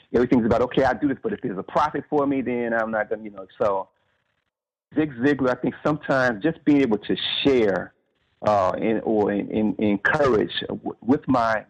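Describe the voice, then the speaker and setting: A man's voice: mid-range voice, breathy edge. A man being interviewed as an author, talking casually and earnestly and searching for his words, on slightly dull audio.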